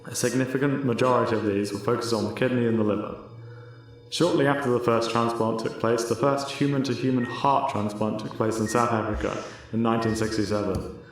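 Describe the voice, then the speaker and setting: A man's voice: medium pitch, little pitch movement, a little fast. Guy narrating a scripted explainer, super echoey, faint music behind. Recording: very echoey room.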